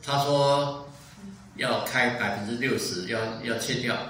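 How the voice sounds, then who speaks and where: A man in his seventies from Taiwan, giving a church testimony in short measured sentences. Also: mid-range voice, fairly bright tone, a little hiss or hum. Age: 70s. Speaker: guest speaker giving a testimony. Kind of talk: church testimony in short phrases, paused for interpreting. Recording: echoey church hall, distant mic.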